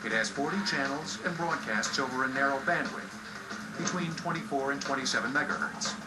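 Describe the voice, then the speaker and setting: A man's voice: mid-range voice, animated, fairly quick. American male documentary narrator over music. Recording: roomy sound under loud music bed.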